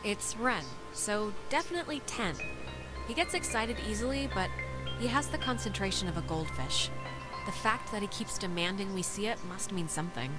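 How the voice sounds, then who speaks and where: A woman's voice: medium pitch, normal speed, close to an everyday voice. A woman playing a plucky, teasing teenage girl in a video game, speaking in a wry, casual way. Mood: wry, amused. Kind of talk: naturalistic video game dialogue acting. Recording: loud music behind the dialogue.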